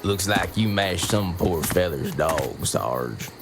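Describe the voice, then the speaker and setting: An actor playing a dim-witted hick soldier in a game cutscene, with a slack, complaining Southern American drawl. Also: male voice, deep voice, lively intonation, slow, over loud music, full character voice. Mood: goofy. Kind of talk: video game cutscene acting.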